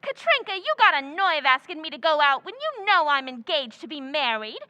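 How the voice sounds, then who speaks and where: A woman's voice: high voice, very animated, moderate pace, pushed and bright, highs cut off, heavily acted. A woman playing a giddy, scatterbrained, man-hungry young woman in an old-time radio comedy recreation.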